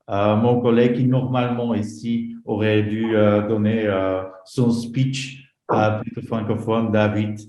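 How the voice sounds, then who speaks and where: A man with a Flemish Dutch accent speaking French as a non-native, giving a halting conference talk full of fillers as an association representative. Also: lowish voice, warm tone, reverberant, poor audio. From northern Belgium. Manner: halting, many fillers and restarts.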